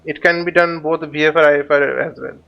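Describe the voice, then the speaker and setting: A man with a slightly high voice narrates a live flight simulator lesson. He speaks haltingly, thinking aloud as he demonstrates each step like an instructor. The recording is somewhat dull and lo-fi. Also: fairly even intonation, creaky. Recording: dull, lo-fi microphone sound.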